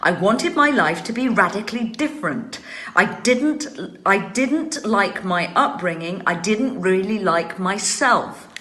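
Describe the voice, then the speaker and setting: Woman in her sixties, crisply spoken. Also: medium pitch, lively intonation, measured pace, fairly bright tone, reverberant. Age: 60s. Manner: carefully trained, crisp articulation. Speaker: host answering viewer questions. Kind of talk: casual chat. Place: home room.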